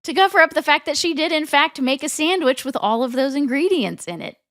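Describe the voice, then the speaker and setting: A woman recaps a sitcom episode for a podcast, walking through each scene and laughing often. Her voice sits a little high. The recording is dry and close. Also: animated, fairly bright tone, no room sound. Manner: recounting scenes, quick to laugh. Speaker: podcast co-host. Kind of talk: episode recap narration and chat.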